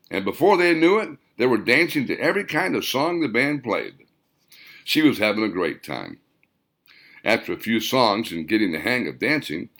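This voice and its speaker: Man, audiobook narrator, low voice, very animated intonation, scripted storytelling. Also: creaky. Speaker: author narrating own audiobook.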